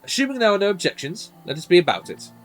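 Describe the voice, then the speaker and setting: A man voicing an earnest young gentleman scholar from a game, formal, measured and a little pompous. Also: high voice, wildly swooping pitch, soft background music. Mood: composed, proper. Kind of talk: reading game dialogue in character.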